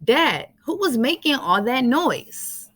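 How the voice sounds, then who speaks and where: Woman reading as curious high-voiced storybook child. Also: big pitch swings, slow, a bit bright, husky, full character voice. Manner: inquisitive, simple questioning. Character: curious young children questioning their parents in a storybook.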